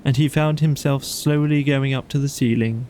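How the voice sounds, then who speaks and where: A man's voice: mid-range voice, fairly even intonation, moderate pace, mellow, a little hiss or hum. A man narrating a children's bedtime story as an audiobook reader.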